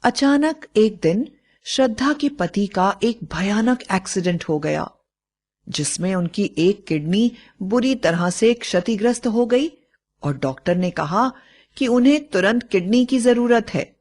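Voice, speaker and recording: A woman's voice: mid-range voice, lively intonation, a little slow, a little mellow. A woman storyteller reading a scripted moral bedtime story.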